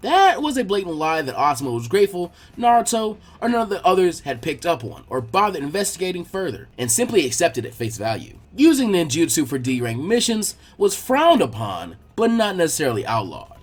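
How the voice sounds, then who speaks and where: A man reading fan fiction aloud as an expressive story narrator. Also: fairly high pitch.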